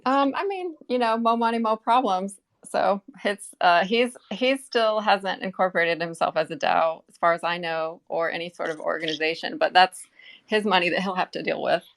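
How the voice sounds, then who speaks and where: A woman's voice: fairly low pitch, normal speed, vocal fry. A woman in a casual interview, clarifying details informally as a community project representative.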